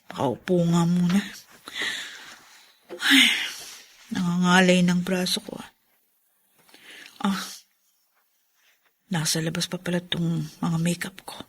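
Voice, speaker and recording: A Filipino woman acting a weary, fretful young mother in a radio drama, expressive and easily exasperated. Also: mid-range voice, slightly rough. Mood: tired, sighing. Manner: expressive, exasperated when pressed.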